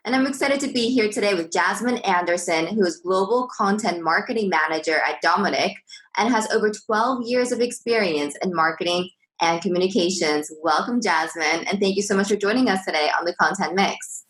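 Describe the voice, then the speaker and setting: A woman's voice: medium pitch, fairly quick, a bit bright, low-quality recording. A woman hosting a marketing podcast, asking her guest questions in an upbeat, eager way. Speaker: podcast host. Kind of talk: interview hosting.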